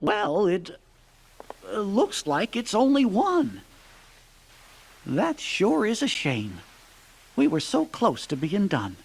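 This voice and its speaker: Worried little cartoon adviser guy, polite n folksy, slow, wobbly up-down pitch. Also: huge pitch swings, creaky, breathy edge, full character voice. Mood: regretful. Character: small, fretful, gentle male cartoon adviser, courtly. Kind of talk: animated game cutscene acting.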